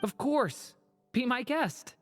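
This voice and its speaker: Soft-hearted young dragon boy for a game: earnest, boyish, animated, faint music behind. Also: very high voice, takes it slowly, exaggerated voice. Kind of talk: video game voice acting.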